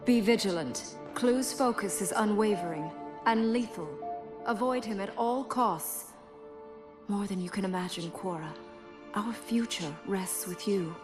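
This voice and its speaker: A woman playing a grave, regal leader in a video game, speaking in measured, formal and commanding phrases. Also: medium pitch, close to an everyday voice. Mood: solemn, commanding. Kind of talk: video game cutscene acting. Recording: roomy echo under loud music.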